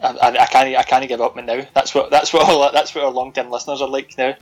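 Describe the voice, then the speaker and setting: A man's voice: medium pitch, fairly even intonation, fast, faint music behind. A man co-hosting a podcast, rambling and self-deprecating, laughing often as he chats. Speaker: podcast co-host. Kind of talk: casual chat.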